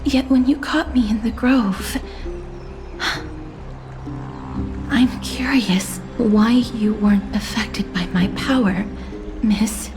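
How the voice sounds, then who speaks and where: An actress playing a gentle, solemn young woman cursed by death in a video game, speaking softly and formally with measured courtesy. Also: a little high, music in the background. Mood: hesitant, earnest. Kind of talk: scripted video game dialogue.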